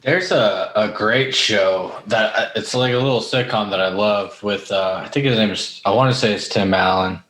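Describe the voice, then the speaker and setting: A man's voice: lowish voice, normal speed, hardly any pauses, a bit bright. A man chatting casually on a podcast, informal and prone to swearing. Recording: echoey, roomy sound.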